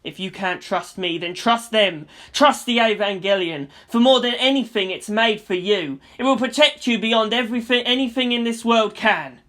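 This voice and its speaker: A woman's voice: on the high side, moderate pace, few pauses, a bit bright, voice pushed up, lightly acted. A woman voicing an earnest, determined teenage boy mecha pilot, urgent and emphatic, in a story reading.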